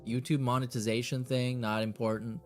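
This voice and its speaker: A man's voice: mid-range voice, little pitch movement, fairly quick, music in the background. A man hosting a reaction show in a casual, blunt, sardonic way, reading messages aloud and swearing as he comments.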